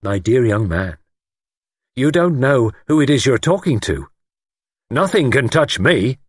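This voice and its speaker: A man acts out a pompous lord from an audiobook, pitched up and swinging theatrically. He is grand and condescending, with menace under every word, and his voice has a husky edge. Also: huge pitch swings, measured pace, no echo, studio quality, full character voice. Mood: cold condescension. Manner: grandiose, condescending, softly threatening. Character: pompous, menacing lord convinced a higher power serves him. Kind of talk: audiobook character acting.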